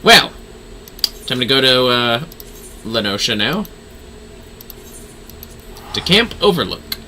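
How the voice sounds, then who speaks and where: A man's voice: mid-range voice, sing-song, slow pace, bright, forceful tone, a little hiss or hum. A man livestreaming a game with casual commentary and offhand, joking, muttered asides.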